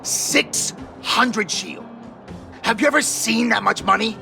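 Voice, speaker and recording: An actor playing a greedy petty thief in a video game, theatrical, taunting and wheedling. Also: male voice, very high voice, slow pace, gravelly, over music, exaggerated voice. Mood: panicked. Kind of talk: animated video game voice acting.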